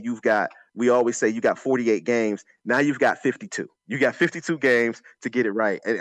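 A man's voice: mid-range voice, no room sound. A man giving conversational sports analysis as an announcer and former player, emphatic, repeating phrases and drawing on anecdotes. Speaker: sports announcer and former college running back.

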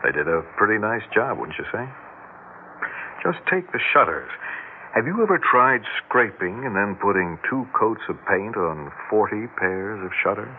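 An actor playing a genial, wry middle-aged American father, expressive and a little theatrical, in a 1960s radio drama. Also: fairly low pitch, normal speed, background noise. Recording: dull vintage 1960s radio recording.

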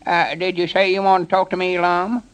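A man's voice: high voice, level tone, a little fast, fairly bright tone, clean tone, pitched above the performer's own voice. An actor voicing an eager, proud old country man with a rural Southern US accent, in 1930s radio comedy style. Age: older.

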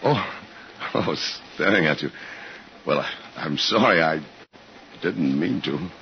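Really deep low guy from an old radio thriller, casual but guarded, halting, muffled. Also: expressive, exaggerated voice. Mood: awkward, hesitant. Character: guarded, awkward male undercover operator posing as friendly traveler. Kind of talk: 1950s radio drama acting. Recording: muffled, hissy 1950s radio recording.

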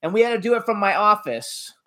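A man's voice: high voice, animated, brisk, bright, forceful tone. A man co-hosting a radio talk show, brash and casual, swearing and laughing as he tells stories.

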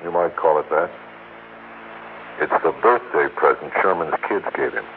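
An American actor playing an earnest, thoughtful investigator's partner in a 1960s radio drama, measured and gently challenging. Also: male voice, extremely low pitch, expressive. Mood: grave, pointed. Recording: muffled, noisy 1960s radio recording.

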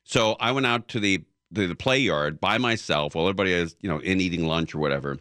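A man's voice: low voice, fast talker, sharp tone, clean tone, no echo, studio quality. A man hosting a casual talk show who tells rambling stories full of fillers and restarts. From Southern California. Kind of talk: casual conversation.

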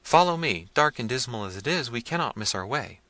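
A man's voice: medium pitch, animated, a little fast, pushed and bright, dry recording, voice pushed up. Man voicing a frightened young princess. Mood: urgent.

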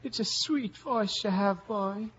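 A man's voice: high-pitched, takes it slowly, fairly bright tone, slight background noise. A wheedling Irish villager with murder in him. His speech lilts and stumbles, sly and nervous. It is 1950s radio drama on a dull, aged recording. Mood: softly menacing. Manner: stammering, lilting, sly.

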